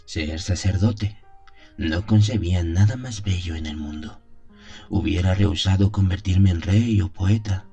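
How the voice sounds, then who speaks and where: A man playing a devout priest who solemnly recalls his innocent youth in a gothic radio drama, in measured, literary phrases. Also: deep voice, mellow. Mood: solemn, fervent. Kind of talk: dramatized first-person gothic story narration. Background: music.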